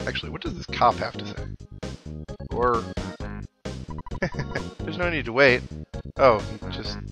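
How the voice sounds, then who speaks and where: A man's voice: medium pitch, expressive, a little slow, gravelly. A man hosting a video game playthrough with casual commentary and wry, joking asides. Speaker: video game let's player, host. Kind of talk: casual game commentary, reading dialogue aloud. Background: video game music.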